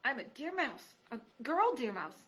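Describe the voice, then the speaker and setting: Woman voicing a timid young girl deer mouse; high, pleading, frightened. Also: sing-song, normal speed, fairly bright tone.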